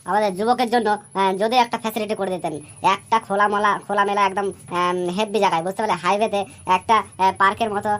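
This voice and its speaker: A woman's voice: mid-range voice, slight background noise, close to an everyday voice. A woman voicing a cheeky young male village sidekick in a comic cartoon, talking in a slangy, nagging way.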